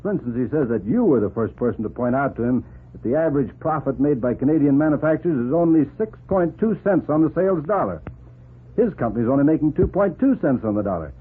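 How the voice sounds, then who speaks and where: An actor in a 1940s radio drama playing a gruff but approving newspaper editor, emphatic and bossy. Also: male voice, fairly high pitch, animated, fast talker. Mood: approving, animated. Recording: narrow old 1940s radio recording.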